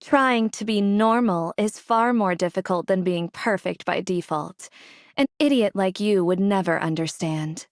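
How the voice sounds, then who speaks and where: A cold, calculating woman of an anime game, played by an actress. Her voice sits at a medium pitch, close and dry. She speaks with controlled condescension and a cutting edge. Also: measured pace, no room sound, close to an everyday voice. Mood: mocking. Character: cold, scornful, scheming female mastermind. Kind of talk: scripted anime game dialogue.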